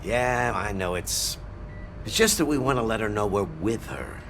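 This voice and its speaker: A man's voice: fairly low pitch, animated, normal speed, a bit bright, slight background noise. An actor playing a friendly, earnest policeman in a video game, talking informally with some hesitation.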